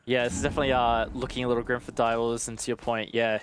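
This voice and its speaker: Mid-pitched male esports analyst on air. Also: flat delivery, very fast, background noise. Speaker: esports match commentator. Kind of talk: analytical live commentary, conversational.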